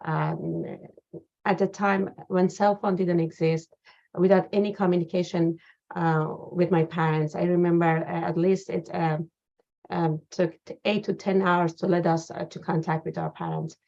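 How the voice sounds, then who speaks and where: A woman's voice: fairly low pitch, level tone, moderate pace, gravelly, darkish tone. Hesitant woman on a dull line. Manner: hesitant, many fillers. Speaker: guest. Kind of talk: personal account in interview. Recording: dull lo-fi sound.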